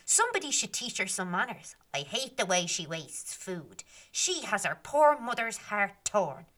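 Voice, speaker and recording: A woman's voice: mid-range voice, very animated, measured pace, fairly bright tone. A woman voicing a band of small fairies who scold and give orders. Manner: scolding and commanding.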